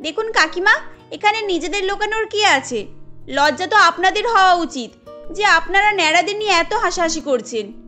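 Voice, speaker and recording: A woman's voice: very high voice, expressive, normal speed, sharp tone, faint music behind, exaggerated voice. A woman voicing a bold, loyal young daughter-in-law in a cartoon, defiant and assertive. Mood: indignant. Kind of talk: cartoon story dialogue.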